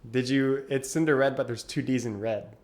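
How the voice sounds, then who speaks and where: A man's voice: mid-range voice, steady tone, moderate pace, a little mellow, a little hiss or hum. A young man co-hosting a gaming podcast, laid-back and chatty, often laughing as he tells stories. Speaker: podcast co-host. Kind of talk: casual gaming podcast chat.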